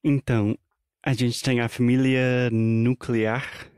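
Guy co-hosting a portuguese podcast, slow and steady, keeps asking questions, dry mic. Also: mid-range voice, smooth, dry recording. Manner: asks questions and checks understanding. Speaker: podcast co-host. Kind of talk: casual language-teaching chat.

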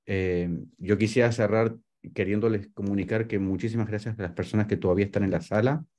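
A man's voice: on the low side, fairly even intonation, fairly quick, darkish tone. A middle-aged man from Venezuela and Uruguay, a real estate advisor giving closing remarks as host on a webinar video call. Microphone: video call microphone.

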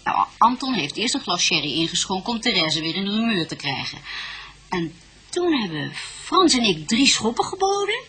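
A bright-voiced, chatty woman witness in a radio play. She sounds assured and expressive, with a very animated melody. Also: medium pitch, normal speed, slightly rough, heavily acted. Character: female witness, chatty, assured card player. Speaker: actress as witness. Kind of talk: Dutch radio drama acting.

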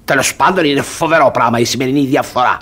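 An actor playing a strict, suspicious guardian in a classical comedy for radio, grumbling and moralizing in a curt, husky way. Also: male voice, mid-range voice, close to an everyday voice. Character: grumpy, suspicious, strict middle-aged guardian of a young woman. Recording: radio theatre recording with music.

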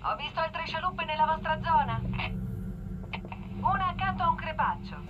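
Very high-pitched brisk female dropship pilot. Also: expressive, highs cut off, noisy background. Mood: businesslike. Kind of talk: video game dub, radio callouts. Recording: loud game soundtrack behind.

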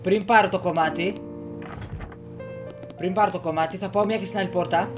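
A young Greek man plays a video game and comments casually as he goes, muttering and exclaiming to himself. He is at a desk microphone in a home room, with game music clearly behind him. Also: high voice, fairly even intonation. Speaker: gaming streamer. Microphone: desktop USB microphone.

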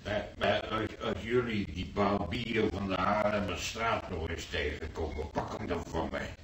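An actor playing an elderly, sleepless hospital patient in a radio drama, plaintive and rambling. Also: male voice, low-pitched, a little slow, a bit bright. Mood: weary, complaining. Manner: plaintive, rambling, repeating himself. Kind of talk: old radio drama acting. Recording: noisy, echoey room, distant microphone.

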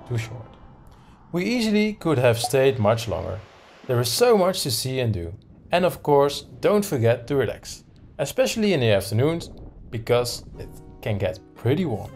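A man's voice: mid-range voice, darkish tone. A man in his thirties narrating a travel vlog. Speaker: travel vlogger.

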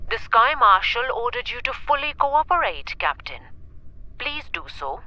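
A woman's voice: fairly high pitch, very animated, normal speed, pushed and bright, a little hiss or hum. A woman playing a coolly authoritative space station director in a video game, formal and controlled. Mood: insistent. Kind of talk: video game dramatic acting.

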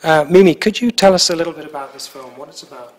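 A man's voice: on the high side, fast. A middle-aged man interviewing a guest at a festival, asking polite, somewhat hesitant questions into a handheld mic in a small indoor room.

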